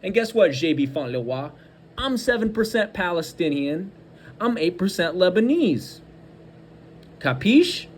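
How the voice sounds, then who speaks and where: A man's voice: sits high, sing-song, unhurried, a little hiss or hum. A man giving angry, combative political commentary, hurling insults and hammering points through repetition. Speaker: host of a political commentary show.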